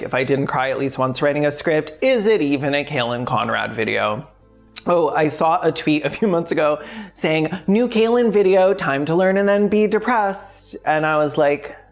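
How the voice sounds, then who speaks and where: A video essay host talking in a candid, self-deprecating, wryly jokey way, conversational but scripted. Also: medium pitch. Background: background music.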